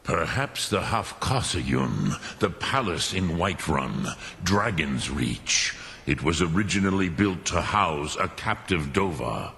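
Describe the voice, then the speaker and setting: A man voicing an ancient, wise dragon mentor in a fantasy video game, gravelly, ponderous and musing, with pauses and dragon-tongue words. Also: sits low, very animated, a bit bright, slight background noise, exaggerated voice.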